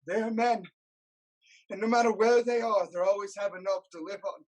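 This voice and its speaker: A woman's voice: mid-range voice, steady tone, moderate pace, a little mellow, natural voice. A woman playing an anguished, self-loathing blinded king in a declamatory, lamenting style of Greek tragedy. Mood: somber pleading. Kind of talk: theatrical Greek tragedy acting.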